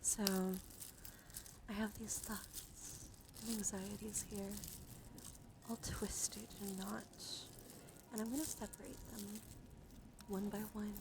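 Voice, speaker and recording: A woman streaming ASMR roleplay as a soothing bedtime monologue, speaking gently and reassuringly in slow, short phrases that often drop into whispers. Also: mid-range voice, level tone, gravelly, background noise. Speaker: live streamer, ASMR host.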